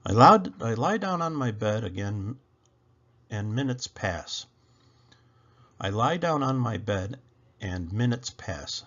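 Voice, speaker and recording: Guy reading a kids book out loud, really lively up and down pitch, slightly dull lo-fi sound. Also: slow pace, pauses often. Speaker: storybook reader. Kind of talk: expressive children's read-aloud narration.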